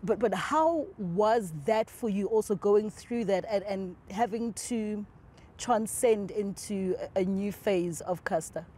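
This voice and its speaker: A woman hosting a sit-down interview, asking gentle, probing questions and murmuring affirmations. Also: mid-range voice, slow, a little hiss or hum. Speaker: interview host.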